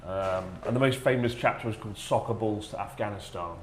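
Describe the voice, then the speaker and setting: A man telling a casual comic anecdote to friends in an animated, sardonic way, acting out quotes. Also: on the low side, brisk. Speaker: storyteller, former English teacher. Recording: roomy echo with clear background noise.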